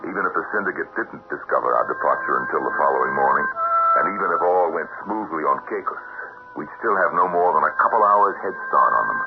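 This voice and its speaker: A man's voice: rumbling low, phone-quality sound, exaggerated voice. An actor playing a wary, skeptical adventurer who also narrates his tale in a 1970s radio drama. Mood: tense storytelling. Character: wary, skeptical adventurer and narrator, treasure hunter's old partner. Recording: very noisy old radio broadcast.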